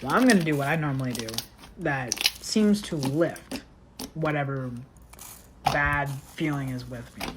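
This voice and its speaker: A woman's voice: sits low, unhurried, background noise. A woman telling a casual first-person story in a blunt, sweary, exasperated manner, acting out the dialogue.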